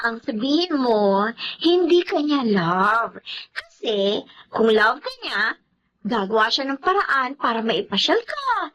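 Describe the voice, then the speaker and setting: An actress playing a possessive, sharp-tongued young girlfriend in a radio melodrama, whiny and pouting. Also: a little high, very animated, slow, exaggerated voice, low-quality recording. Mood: sugary, cutting.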